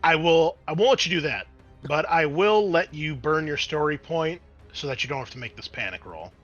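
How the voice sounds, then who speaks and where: A man acting as game master, narrating the action and explaining rules to players in an animated way. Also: on the high side, normal speed, highs cut off. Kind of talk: narrating and refereeing a tabletop roleplaying game. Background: background music.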